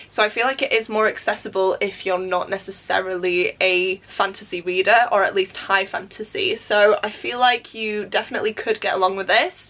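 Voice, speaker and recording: Bright-voiced Welsh woman, slightly nasal. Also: mid-range voice. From Wales. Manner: chatty and enthusiastic, frequent "so yeah" and "definitely". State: coming down with a cold, slightly nasal. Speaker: book vlogger. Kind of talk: casual reading vlog talk to camera.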